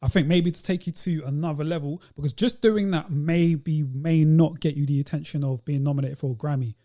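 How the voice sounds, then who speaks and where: A man's voice: fairly high pitch, fairly even intonation, brisk, mellow. A man with a London accent co-hosting a podcast, talking casually about music in a panel chat. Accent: British, London. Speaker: podcast co-host. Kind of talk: casual panel discussion of hip-hop.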